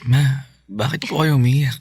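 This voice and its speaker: A Filipino man playing a sick but cheerful, considerate son in a radio drama, polite and gently upbeat. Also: medium pitch, measured pace, slightly rough, no echo, studio quality. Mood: warm, reassuring.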